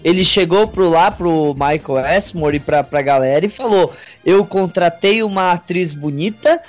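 A man's voice: high-pitched, normal speed. A Brazilian man speaking as a podcast panelist in a casual, anecdotal discussion. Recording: music under the voice.

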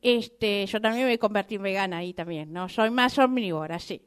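A woman's voice: medium pitch, moderate pace, smooth, natural voice. A woman with an Argentine accent voicing a chatty, sentimental mother cockroach in improvised comic radio theatre, repeating herself coyly. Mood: chatty, fond. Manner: repetitive, coy, hesitant, conversational asides.

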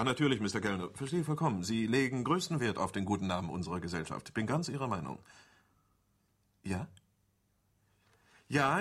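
An actor in a German radio drama playing a shrewd, dryly sardonic insurance manager, formal and businesslike, with a husky voice. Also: male voice, lowish voice, exaggerated voice.

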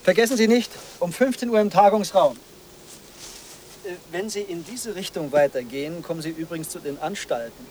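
An actor playing an officious, evasive conference organizer with a wordy, self-important manner in a radio drama. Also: male voice, high-pitched, moderate pace, darkish tone, natural voice. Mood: brisk. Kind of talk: German radio drama dialogue. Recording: clear background noise.

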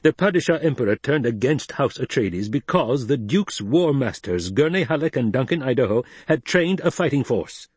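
A man's voice: medium pitch, animated, normal speed, few pauses, a little mellow, husky, no echo, pitched above the performer's own voice. A man voicing a shrewd, bony old adviser in an audiobook, incisive, probing and contemptuous. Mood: stern, insistent. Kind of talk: dramatized audiobook reading.

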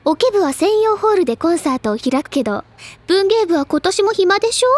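High-pitched, theatrical schoolgirl. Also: big pitch swings, fairly quick, a little mellow, a little hiss or hum. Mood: pouting, defensive. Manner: dramatic, declamatory, lecturing. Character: excitable, theatrical high-school literature-club girl, self-assured upperclassman. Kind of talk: anime-style audio drama acting.

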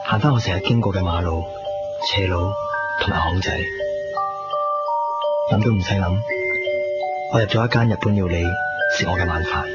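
Low reflective young hong kong guy, a male actor, cantonese 90s radio drama, muffled w music under. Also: moderate pace, pauses often, lightly acted. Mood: wistful, reflective. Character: young man newly living alone, reflective, squeamish narrator-hero. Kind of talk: 1990s radio drama, intimate narration and dialogue. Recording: muffled 1990s radio recording, music behind.

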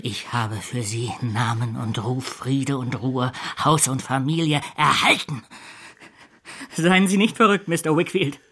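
An actor in a German radio drama playing a servile, ambitious clerk with an insinuating, falsely humble manner. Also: male voice, medium pitch, unhurried, sharp tone, slightly rough, exaggerated voice. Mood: defensive, menacing. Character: servile, scheming, ambitious male clerk feigning humility.

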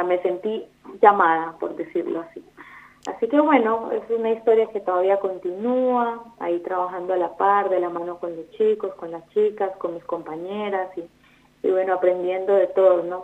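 Girl on the phone, kinda low voice, stops and restarts a lot, sounds thin and tinny. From Colombia. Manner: thoughtful, hesitant, many fillers and restarts. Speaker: guest, foundation volunteer. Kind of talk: interview over the phone.

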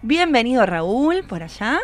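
Una mujer con acento argentino de Buenos Aires que conduce un programa de radio en vivo de forma informal, charlando y bromeando. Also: female voice, on the high side, sing-song, a little slow, faint music behind. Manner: informal, chatty, joking. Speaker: radio host. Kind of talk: casual live radio hosting. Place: radio studio. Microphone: studio microphone.

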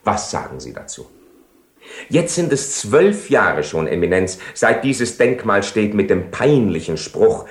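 World-weary ironic nobleman seducer, low voice, eloquent radio drama delivery, roomy 1970 studio. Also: sing-song, a little slow. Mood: sardonic, composed. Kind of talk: 1970s German-language radio play acting.

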